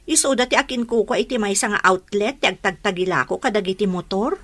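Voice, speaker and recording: An actress playing an envious, gossiping in-law in a radio drama, with an Ilocano accent. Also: female voice, mid-range voice, fairly quick, fairly bright tone, natural voice. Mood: envious, chatty.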